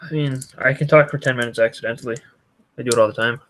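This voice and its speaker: A young man chatting casually as a guest, with a dry, deadpan way of teasing. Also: mid-range voice, fast, slight background noise. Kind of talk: casual chat.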